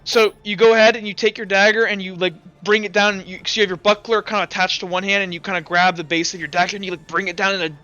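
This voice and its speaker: A young man runs a tabletop game, narrating the fight in a rambling way full of "like" and "uh". His voice sits high and comes through smooth and crisp. The recording sounds dull and lo-fi. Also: level tone, fast talker, few pauses, pushed and bright, soft background music. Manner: rambling, lots of likes and uhs. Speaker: game master. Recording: dull lo-fi mic.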